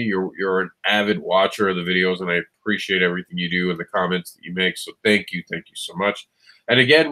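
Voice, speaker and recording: A lowish male voice, stuffed up and nasal from a cold that won't clear, with a slightly scratchy throat. He hosts a casual live show, talking loosely with plenty of ums, false starts and self-corrections. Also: a bit bright. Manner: rambling, many ums and restarts. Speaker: show host. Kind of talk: casual livestream chat.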